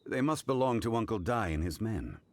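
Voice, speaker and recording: A man voicing a calm, learned and dignified character in a video game, formal and deliberate as he reasons aloud. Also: on the low side. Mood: composed.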